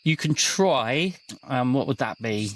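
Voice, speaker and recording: A man acting as game master, narrating an improvised tabletop game in a casual, halting way. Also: medium pitch, lively intonation.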